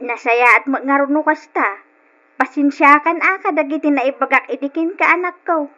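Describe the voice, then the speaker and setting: A caring middle-aged mother with an Ilocano accent. Her voice is very bright and high, with an earnest, concerned delivery in old radio drama style. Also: normal speed, muffled recording. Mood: concerned, gentle.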